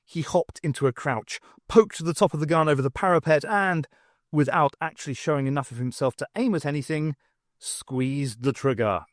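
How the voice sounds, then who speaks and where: A male audiobook narrator tells a story with expressive, wry delivery. His voice sits a little high for a man. The sound is close and dry, with hardly any room to it. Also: moderate pace, dry recording. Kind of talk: dramatic scripted narration of fiction.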